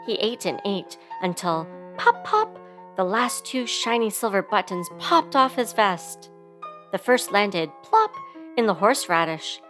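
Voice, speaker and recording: A woman's voice: mid-range voice, unhurried, fairly bright tone. A woman reading a children's story aloud expressively, giving sound words theatrical emphasis, with instrumental music behind her. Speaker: storybook reader.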